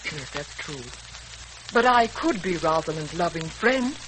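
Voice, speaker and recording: Low-pitched, genteel mother-in-law, crackly radio. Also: animated, measured pace. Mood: earnest, tender. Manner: refined, careful, sentimental. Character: genteel, tender mother-in-law, earnest and gracious. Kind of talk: 1940s radio serial acting. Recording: noisy, crackly 1940s radio recording.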